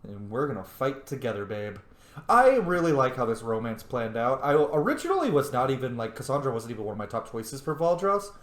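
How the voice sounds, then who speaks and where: A man's voice: mid-range voice, very animated, brisk, a bit bright. A man giving casual, jokey playthrough commentary on a video game, slangy and chatty. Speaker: video game streamer and role-player. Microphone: microphone. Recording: echoey room.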